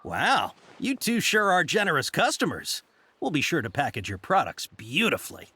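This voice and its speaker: A man's voice: a little high, huge pitch swings, normal speed, bright, forceful tone, breathy edge, heavily acted. A man voicing a polite, slightly shifty young shop clerk in a video game, courteous and apologetic. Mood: courteous, businesslike. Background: game music.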